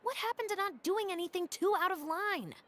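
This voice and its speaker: An actress playing a formal, teasing, stern young investigator in a video game, speaking in a reproachful manner. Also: female voice, extremely high pitch, fairly quick, fairly bright tone.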